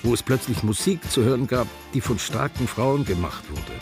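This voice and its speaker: An actor as a defiant older Austrian man, animated. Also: medium pitch, normal speed, faint music behind, natural voice. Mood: wistful, rapturous. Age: 70s. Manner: frank, theatrical. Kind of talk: radio drama, intimate storytelling.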